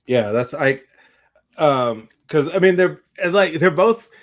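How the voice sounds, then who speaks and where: A man's voice: on the high side, expressive, slow pace. A tired man hosting a podcast, chatting casually in a rambling way and often backtracking mid-sentence. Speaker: podcast host. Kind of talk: casual chat.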